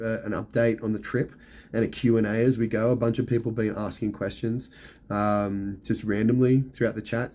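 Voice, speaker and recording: A middle-aged man talks loosely on a live stream, his voice hoarse and worn as if he were losing it. The tone sits low and mellow, and he rambles from one point to the next, padding his sentences with ums and you-knows. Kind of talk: casual live stream chat.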